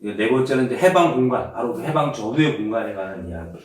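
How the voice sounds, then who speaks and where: A man's voice: medium pitch, quick pace. Man hosting a book chat in an echoey room. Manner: relaxed, conversational, leads the talk. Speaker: seminar host. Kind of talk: casual book discussion. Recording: very echoey room, mic far off.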